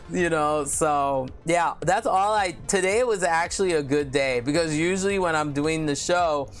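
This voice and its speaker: Deep-voiced woman streamer, casual and candid, filler-heavy chat over faint music. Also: animated. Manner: candid and chatty, many "you know" fillers, quick laughs. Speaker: live streamer. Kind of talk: casual live-stream chat, reading viewer comments aloud.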